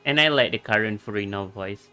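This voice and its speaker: A man's voice: lowish voice, brisk, pushed and bright. A man livestreaming a video game, commenting casually as he reacts. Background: game music.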